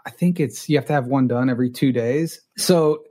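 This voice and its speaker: A man's voice: medium pitch, brisk, warm tone. A middle-aged man from Los Angeles whose first language was Spanish, a guest telling his own story in a candid, self-deprecating way with frequent restarts.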